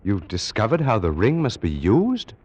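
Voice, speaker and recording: Animated, low-voiced gentleman scholar. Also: husky, heavily acted. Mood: probing, uneasy. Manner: formal, dramatic, measured. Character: cultured male Egyptology scholar, secretly an ancient priest. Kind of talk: 1940s radio acting and first-person narration. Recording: muffled vintage radio recording.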